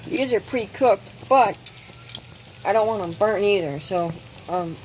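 A woman hosting her own cooking channel, explaining step by step outdoors at a grill, halting often with ums. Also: mid-range voice, darkish tone, a little hiss or hum, rough recording. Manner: unhurried, hesitant with frequent ums. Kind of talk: casual cooking demonstration.

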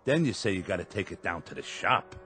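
A worn-out, grumbling New cab driver, gruff and quick to defend himself. His pitch moves a lot between gripes. Soft music sits under the radio drama. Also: expressive. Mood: weary. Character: tired, grumpy working-class cab driver husband.

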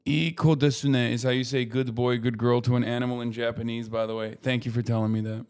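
A man's voice: mid-range voice, level tone, a little fast, a little mellow. A man in his thirties giving casual livestream commentary into a close microphone. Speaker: livestream host.

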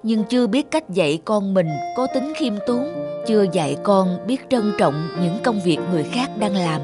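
A gentle cartoon mother, voiced by an actress, who speaks with humble, patient earnestness. She measures out her words like a kindly teacher. Music plays clearly behind her. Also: medium pitch, lively intonation, moderate pace, natural voice. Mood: gently admonishing. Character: gentle, humble mother, a famous woman novelist. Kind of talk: animated moral-tale dubbing.

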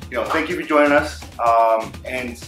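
Bright-voiced man hosting, roomy sound. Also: medium pitch, moderate pace, soft background music. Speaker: show host, interviewer. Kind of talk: casual interview hosting. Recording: roomy, echoing room sound.

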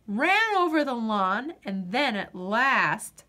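Female picture-book reader: unhurried, animated delivery with dramatic pauses for young listeners. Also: fairly high pitch. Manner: dramatic, deliberate, with drawn-out pauses. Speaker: storyteller reading a picture book aloud. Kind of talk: expressive children's storybook narration.

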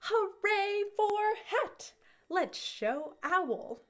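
A woman's voice: very high-pitched, animated, drawn-out words, pitched above the performer's own voice, heavily acted. A young woman voicing cheerful, eager storybook animal friends, singing out the words. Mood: excited cheering.

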